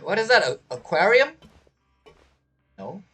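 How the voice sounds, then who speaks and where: Animated, bright-voiced male game streamer. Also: high voice, unhurried, slightly rough, faint music behind. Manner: thinking aloud, reacting to the story. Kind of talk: casual gameplay commentary.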